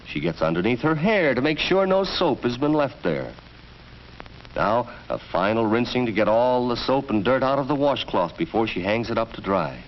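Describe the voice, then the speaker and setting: A man's voice: medium pitch, sing-song, normal speed. An American man narrating a 1940s educational film from a script, in an instructive, storytelling manner. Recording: dull vintage film soundtrack, faint noise.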